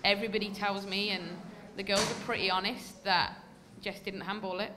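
A woman's voice: mid-range voice, little pitch movement, normal speed, music in the background. An English woman in her thirties, a football coach answering press questions candidly and emphatically, with many fillers, at a desk mic in a press room. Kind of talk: post-match press conference answers. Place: indoor press room before a sponsor backdrop.

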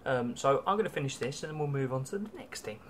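A young man with a British accent chats wryly into a laptop webcam. He talks in a middle pitch and fills his sentences with ums and restarts. A faint hiss of room noise sits under his voice. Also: expressive, quick pace, a bit bright. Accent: British English. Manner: chatty and wry, with many ums and restarts. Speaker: travel vlogger. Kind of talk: casual food-tasting vlog. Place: small hotel room, indoors.

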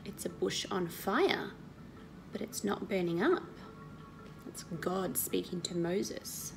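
A woman in her thirties reads a Bible story to children, explaining it and asking questions in an expressive, teacherly way. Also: on the low side, slow pace, vocal fry, over music, poor audio. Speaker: Sunday school story reader. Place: indoor living room at home.

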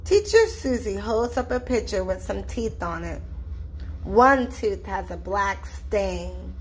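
A woman reading a children's storybook aloud in an expressive, deliberate narrating style. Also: medium pitch, slight background noise, rough recording.

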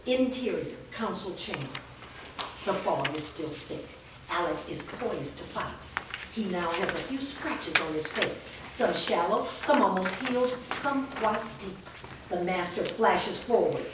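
A woman reads the stage directions in a steady, descriptive way. She sounds far from the mic in a very echoey room with faint background noise. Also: mid-range voice. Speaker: narrator reading stage directions. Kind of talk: staged script reading. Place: large studio room. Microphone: far camera mic.

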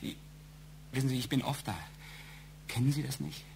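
A man acting in a German audio drama as a fearful, indignant family man under suspicion, halting and repeating his words. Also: mid-range voice, little pitch movement, vocal fry, darkish tone, slight background noise, close to an everyday voice. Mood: anguished confession. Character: flustered, fearful, indignant male murder suspect and family man.

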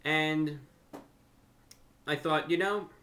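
A young man talking unscripted to camera as a host, with deliberate, slightly formal phrasing, pausing and repeating words. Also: fairly high pitch, steady tone, sharp tone. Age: young adult. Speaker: YouTube host and amateur radio broadcaster. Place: furnished room at home, indoors.

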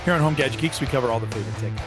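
A middle-aged man hosting a casual tech podcast, hoarse and raspy from allergies, rambling with fillers and restarts, on a boom-arm studio mic in a home studio. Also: very fast, over loud music. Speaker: podcast host. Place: home studio room with shelves.

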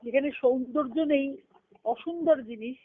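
A very high-voiced woman gives a spiritual teaching, reflective and methodical. She poses questions and then answers them herself, and recites lists of scriptural terms in a steady, measured rhythm. Her voice comes through a thin, tinny conference-call phone line. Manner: reflective, explains step by step with rhetorical questions. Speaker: spiritual discourse leader.

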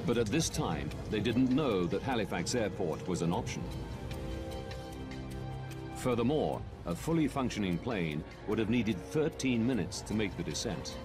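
A man narrates a documentary in a measured, grave way, his low voice moving through a lively range. Music plays clearly underneath him. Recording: music bed behind narration.

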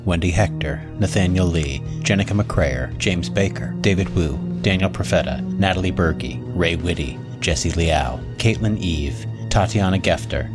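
Deep-voiced male podcast announcer over music. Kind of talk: scripted credits read.

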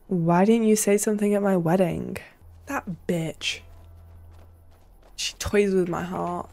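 Young female streamer, unhurried delivery, mid pitch, playful off-the-cuff gameplay chatter. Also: steady tone, gravelly, dark tone. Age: young adult. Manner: playful, muttering to self. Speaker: game streamer. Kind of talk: casual gameplay commentary. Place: small room at home. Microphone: desk mic close up, headset worn.

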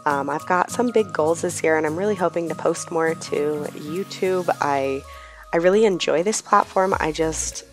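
A woman vlogger, hoarse from a cold, chatting casually in a rambling voice-over with many ums. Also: sits low, moderate pace, soft background music. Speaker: planner vlogger.

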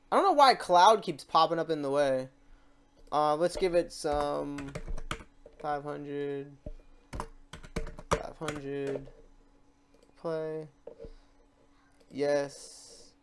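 A tutorial host with a mid-pitched voice talks over a screen recording. The delivery is unscripted and informal, rambling a little while thinking aloud through each step of the software. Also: extremely slow, long pauses, noisy background.

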